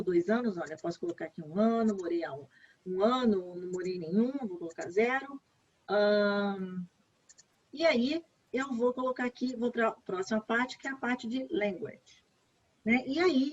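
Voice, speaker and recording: A Brazilian woman walks through an online application form in a relaxed, chatty way, repeating the points she wants to stress. Her voice is a little husky, and the video call audio is dull and lo-fi. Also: mid-range voice. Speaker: education advisor. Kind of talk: online workshop walkthrough.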